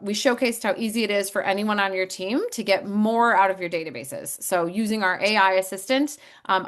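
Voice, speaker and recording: A woman's voice: medium pitch, fairly bright tone. Upbeat woman hosting a casual demo. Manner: upbeat, friendly, with frequent fillers. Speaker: sales host of company demo. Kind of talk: casual chat on video call.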